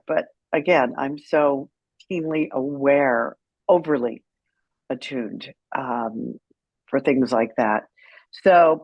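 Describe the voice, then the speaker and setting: A woman's voice: rumbling low, no room sound. A middle-aged American woman speaks as an interview guest, candid and wry, telling stories conversationally. The audio is a little dull and very dry, with hardly any room around the voice. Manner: candid, wry, animated retelling with quoted dialogue. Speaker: interview guest, caregiver advocate. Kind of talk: conversational storytelling.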